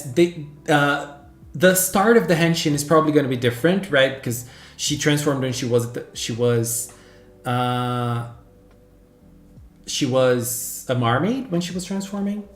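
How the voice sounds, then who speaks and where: Animated man streaming in an echoey room. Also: on the high side. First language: Portuguese. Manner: animated, gushing, squeals greetings to viewers. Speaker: livestream host. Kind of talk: casual chat with viewers. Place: home room. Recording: echoey room with faint background music.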